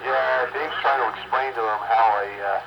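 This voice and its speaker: A man with a mid-pitched voice talks casually over amateur radio. He is halting and laid-back, speaking into a stock radio mic, and the transmission carries clear static. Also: fairly even intonation. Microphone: stock radio microphone.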